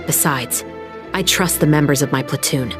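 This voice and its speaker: A woman plays a young female musketeer officer in a game, with a lowish voice and a measured, formal delivery. She sounds composed and earnest, with music playing behind her. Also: lightly acted. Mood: earnest, serious. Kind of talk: dramatic game voice acting.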